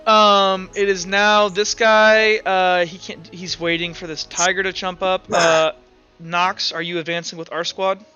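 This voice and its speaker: A man's voice: high-pitched, flat delivery, measured pace, pushed and bright, clear, resonant tone, lo-fi sound, faint music behind. A man acting as game master, narrating a tabletop roleplaying game in a casual, slangy way.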